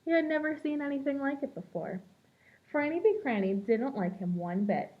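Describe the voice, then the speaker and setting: A woman with a fairly high voice reads a picture book aloud like a preschool teacher. Her delivery is expressive and shaped for young children. The recording sounds slightly dull and lo-fi. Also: moderate pace.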